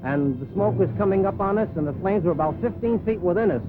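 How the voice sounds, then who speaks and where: A man gives an eyewitness account in a quick, high voice, plain and unpolished. The sound is a narrow, tinny 1930s newsreel track with music audible. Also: expressive. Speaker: ship crew member, eyewitness.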